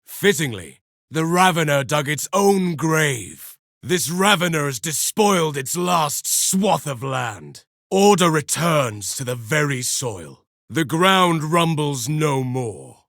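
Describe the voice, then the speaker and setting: Grim zealous armored super-soldier. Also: male voice, on the high side, expressive, slow, gravelly, a bit bright, no room sound, clean studio sound, heavily acted. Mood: grim contempt. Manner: declaiming solemn insults over slain foes. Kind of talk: video game combat voice lines.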